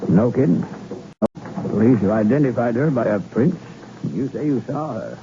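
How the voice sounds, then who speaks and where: A man's voice: mid-range voice, expressive, moderate pace, muffled recording, close to an everyday voice. A man playing a helpful, matter-of-fact newspaper colleague in an old-time radio crime drama, with a breezy manner. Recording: hissy, band-limited old radio broadcast recording.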